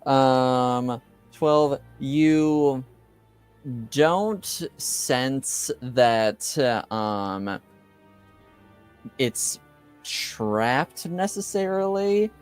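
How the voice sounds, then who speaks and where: Slow, clear-voiced man as game master. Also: medium pitch, animated, faint music behind. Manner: hesitant, halting. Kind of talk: improvised tabletop game narration.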